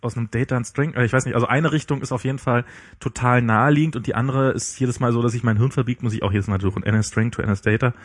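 Fast-talking husky man, dry sound. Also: medium pitch, fairly even intonation, no echo. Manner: quick, hesitant, lots of "äh" and restarts. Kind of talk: casual tech chat.

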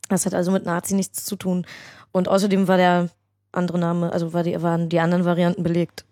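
A woman's voice: fairly low pitch, completely flat delivery, fast talker, mellow, no room sound, studio quality. A woman guest on a podcast, talking casually and hesitantly with many fillers. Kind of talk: casual interview chat.

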